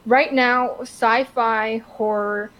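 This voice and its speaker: A woman co-hosting a podcast in casual, opinionated discussion, halting and stammering as she searches for words. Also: fairly high pitch, steady tone, fairly bright tone. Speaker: podcast co-host. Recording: clear background noise.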